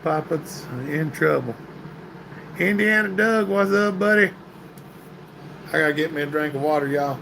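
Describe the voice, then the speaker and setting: Male streamer, folksy, greets chat by name, voice going hoarse, gravelly edge, light background hiss. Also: on the high side, a little slow, long pauses. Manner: folksy, answering viewer comments by name. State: getting hoarse and stuffy. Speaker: livestream host reading chat. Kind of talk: casual live-stream chat. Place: indoor workshop room, sitting at a metal table.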